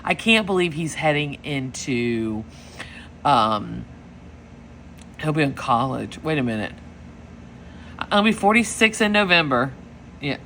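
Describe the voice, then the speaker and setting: A woman's voice: low-pitched, animated, a little slow, long pauses, fairly bright tone, a little hiss or hum. Chatty woman in her forties, rambling. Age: 40s. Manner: rambling storyteller, lots of fillers and laughs. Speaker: planner vlogger. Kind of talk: casual chat while planning.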